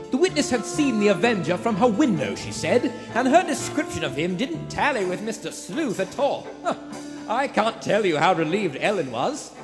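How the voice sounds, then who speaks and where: A man playing the dramatic narrator of a suspense radio play, theatrical in manner. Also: high-pitched. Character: dramatic storyteller of a suspense thriller. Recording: live theater hall with music.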